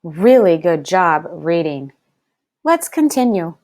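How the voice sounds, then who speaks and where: A woman teacher reading aloud to young children, enunciating deliberately and addressing them directly in an encouraging way. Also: lowish voice, very animated, a little mellow. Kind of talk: slow read-aloud lesson for young children.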